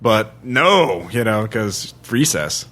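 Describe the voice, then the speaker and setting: A man's voice: fairly low pitch, very animated, slow, a bit bright. A man hosting a podcast, casually chatting and putting questions to his guest. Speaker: podcast host and game player.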